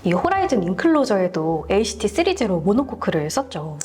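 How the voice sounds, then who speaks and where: Lively-pitched woman presenting a product. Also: brisk, slight background noise. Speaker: co-host presenting product specifications.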